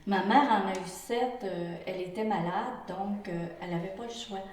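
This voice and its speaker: A Québécois woman in her sixties speaking in a group interview, earnest and halting, often searching for her words. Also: medium pitch, a little mellow, a little hiss or hum. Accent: Québécois French. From Quebec. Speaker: interview participant. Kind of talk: reflective group interview testimony. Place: indoor room with a pump running. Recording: very echoey room, distant mic.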